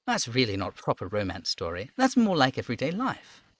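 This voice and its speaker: A man's voice: medium pitch, wildly swooping pitch, brisk, dry recording. A man voicing a polite, earnest young girl from a video game, innocent and deferential. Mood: thoughtful, innocent. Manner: deferential, innocent, matter-of-fact.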